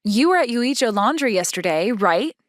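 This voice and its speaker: A woman's voice: a little high, very animated, moderate pace, no echo, clean studio sound. A woman playing a haughty, blunt teenage schoolgirl in a manga dub, speaking in a demanding, self-assured way. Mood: accusing. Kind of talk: manga dub character dialogue.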